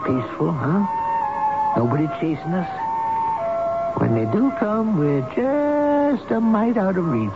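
A man's voice: high voice, big pitch swings, exaggerated voice. Folksy frightened old man, muffled radio. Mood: tender, coaxing. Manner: folksy, halting, pleading. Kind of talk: radio drama acting. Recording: muffled old radio broadcast, music behind.

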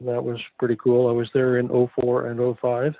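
A man's voice: mid-range voice, completely flat delivery, brisk, vocal fry, dry recording. A man who is a guest on a podcast, telling stories in a rambling way with many restarts. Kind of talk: casual chat. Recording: muffled lo-fi sound.

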